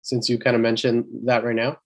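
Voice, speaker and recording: A male interviewer asking long, relaxed questions, with frequent "um" and "you know". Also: mid-range voice, fairly quick.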